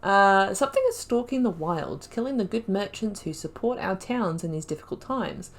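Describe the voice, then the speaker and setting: A woman giving casual playthrough commentary as a gaming video host. Her voice is mid-pitched, and she talks informally, with wry asides as she reads and reacts. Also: animated, moderate pace. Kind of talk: casual playthrough commentary, reading game text aloud.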